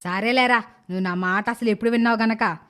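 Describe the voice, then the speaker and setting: A woman playing a blunt, nagging old mother-in-law in an animated moral story. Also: fairly high pitch, fairly quick, close to an everyday voice. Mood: grudging.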